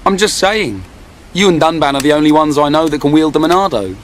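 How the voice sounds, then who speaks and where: A man's voice: on the high side, lively intonation, brisk, slight background noise, natural voice. A man with a British accent voicing a brash, teasing young sidekick and loyal friend in a video game.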